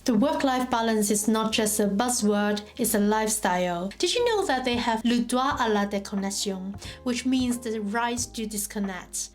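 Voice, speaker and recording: A young woman from Hong Kong talking to camera as a language vlogger, upbeat and chatty, asking the viewer direct questions. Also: a little high. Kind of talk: scripted talk to camera.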